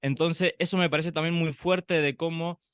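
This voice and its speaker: A man co-hosting a podcast, talking through his thoughts in a relaxed, reflective way, with frequent pauses and tag questions. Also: fairly high pitch, clean tone, no echo, clean studio sound. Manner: reflective, thinks aloud with many "em" pauses and tag "¿no?". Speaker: podcast co-host. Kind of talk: conversational podcast chat.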